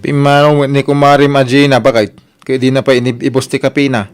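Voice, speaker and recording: A man's voice: mid-range voice, level tone, brisk, faint music behind, lightly acted. A man playing an exclamatory, questioning village husband with a Filipino Ilocano accent in a radio comedy drama.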